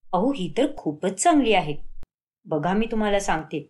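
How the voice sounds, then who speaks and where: A woman as a suspicious, lower-pitched young daughter-in-law. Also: animated, moderate pace, a little mellow, close to an everyday voice. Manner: conspiratorial, doubting. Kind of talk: animated moral-story cartoon dialogue.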